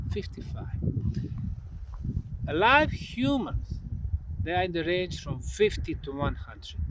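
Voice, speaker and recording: A man presents on site as a researcher, explaining in an unscripted monologue with emphatic, deliberate phrasing and pauses. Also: fairly high pitch. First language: Bosnian. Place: outdoors at an open monument site. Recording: outdoor ambient noise, urban or vehicle sounds.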